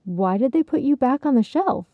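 A woman's voice: high-pitched, expressive, normal speed, no room sound, muffled recording, voice pushed up. A woman voicing a timid, confused, newly made male coffee gift basket in a story, cautious and wondering. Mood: worried.

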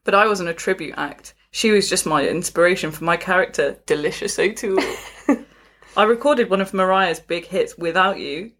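A woman's voice: lowish voice, normal speed, fairly bright tone. A woman reading a story aloud in a casual, amused way, giggly and breaking off to comment like a podcast host.

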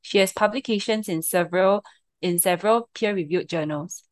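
A woman co-hosting a podcast, reading a guest's introduction in a steady, formal way. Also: lowish voice, normal speed, no echo. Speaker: podcast co-host.

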